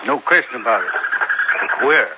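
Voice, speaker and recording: An actor playing a tough middle-aged police lieutenant in a 1950s radio drama, brisk and gruff. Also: male voice, medium pitch, expressive, highs cut off, natural voice. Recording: hissy old radio broadcast.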